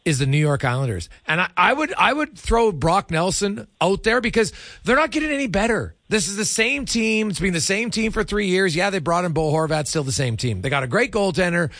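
A man's voice: high-pitched, expressive, fairly quick, few pauses, a bit bright, dry recording, clean studio sound. A male sports radio host giving emphatic, opinionated hockey commentary in short, punchy sentences.

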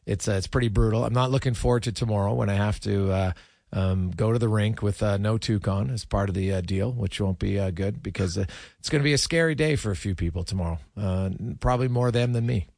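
A man hosting a casual sports talk show, speaking in a halting, repetitive way. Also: fairly low pitch, vocal fry, a little mellow, no room sound, clean studio sound.

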